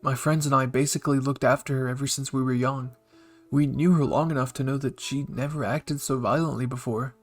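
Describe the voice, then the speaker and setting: A man's voice: mid-range voice, steady tone, fairly quick, faint music behind, natural voice. A man voicing an earnest, intense young male soldier who answers eagerly and respectfully. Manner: eager and respectful, keeps saying sir.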